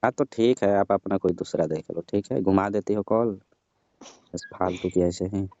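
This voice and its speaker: A man on a phone call who sounds grumbling and argumentative, with a mid-pitched, dark voice. The line is muffled and dry, like a recorded phone call. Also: normal speed, no room sound. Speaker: caller. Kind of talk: scripted comedy phone call.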